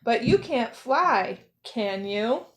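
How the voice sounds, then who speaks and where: A woman's voice: on the high side, lively intonation, takes it slowly, fairly bright tone, rough recording. A woman voicing a scornful gull in a children's story, with a squawking, taunting manner.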